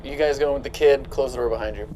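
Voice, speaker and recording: A woman's voice: a bass voice, quick pace, warm tone, poor audio. A woman talking casually as a player in a tabletop role-playing game.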